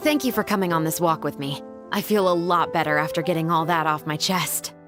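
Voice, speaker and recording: An actress voices a resolute young female patrol officer in a video game. The voice sits fairly low for a woman, measured and earnest, with formal, composed phrasing. Music is clearly audible underneath. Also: natural voice. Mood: grateful. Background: background music.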